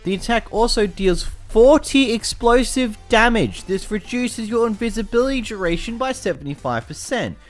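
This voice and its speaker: A young Australian man casually commenting on a video game, chatty and self-mocking, tripping over words as he reads. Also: sits high. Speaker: gaming YouTuber.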